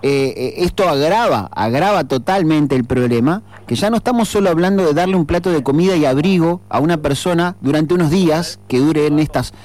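A man's voice: fairly high pitch, fairly quick, hardly any pauses, darkish tone. A man hosting a radio talk show, speaking earnestly and reflectively with frequent fillers and restarts. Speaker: radio host. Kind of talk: radio commentary.

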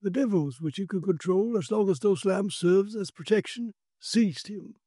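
Man acting a high-pitched, commanding occult investigator. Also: normal speed, warm tone, slightly rough, no room sound, studio quality. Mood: grave, explaining. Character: commanding male occult investigator, urgent, learned and grave. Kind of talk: dramatised audiobook character.

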